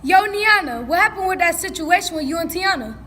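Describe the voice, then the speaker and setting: A woman's voice: extremely high pitch, lively intonation, a little fast, pushed and bright, a little hiss or hum, exaggerated voice. A woman playing a no-nonsense, questioning teenage schoolgirl in casual drama dialogue. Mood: challenging, skeptical. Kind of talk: stage play video skit, casual dialogue.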